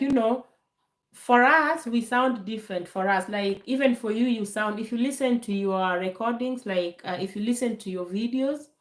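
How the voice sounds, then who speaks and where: A woman from Kenya hosting a casual live Q&A, calm and unhurried, laughing often, still getting over a bad cold. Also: medium pitch, echoey room. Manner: calm, slow, laughs often, many fillers. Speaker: livestream host. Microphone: phone held to camera.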